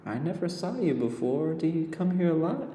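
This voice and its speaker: A man's voice: fairly high pitch, expressive, brisk, mellow, slight background noise, voice pushed up, lightly acted. A man reading aloud and voicing a stubborn ten-year-old girl who stammers eagerly and insists on getting her way. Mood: flustered. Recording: roomy long reverb, faint ambience.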